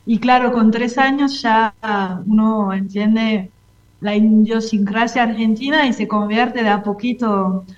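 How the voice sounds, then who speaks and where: A woman from France speaking Spanish with a French accent in a casual interview, often pausing to search for words. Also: on the high side, steady tone, lo-fi sound. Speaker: interview guest, French cultural institute representative.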